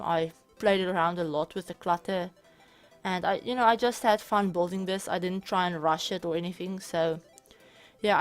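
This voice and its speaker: A woman, a bit hoarse from being sick, talking casually and rambling over footage of a game build, often correcting herself mid-sentence. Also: lowish voice. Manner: rambling, self-correcting, chatty. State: hoarse from illness. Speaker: channel host. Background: soft background music.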